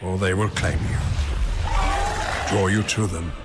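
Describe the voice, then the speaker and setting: An actor playing a grave, commanding old wizard, narrating a fantasy war game in a solemn, deliberate, formal way. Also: male voice, deep voice, steady tone. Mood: grave, foreboding. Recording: voice under clear background music.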